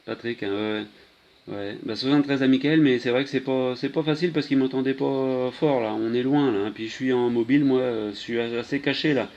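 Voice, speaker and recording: A man chatting casually and haltingly as a CB radio operator. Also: mid-range voice, steady tone, darkish tone. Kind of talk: casual radio contact chat. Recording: muffled lo-fi CB radio, clear noise.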